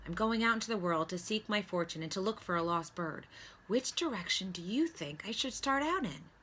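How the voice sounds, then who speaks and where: A woman's voice: medium pitch, expressive, fairly quick, sharp tone, a little hiss or hum. A woman voicing a small, earnest, emotional young male mouse adventurer in a storybook.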